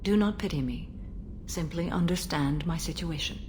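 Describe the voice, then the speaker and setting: A woman playing a centuries-old, stoic alien warrior in a video game, speaking in a grave, measured, formal way. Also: sits low, darkish tone, slight background noise, natural voice. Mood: sorrowful, restrained. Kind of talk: dramatic video game dialogue.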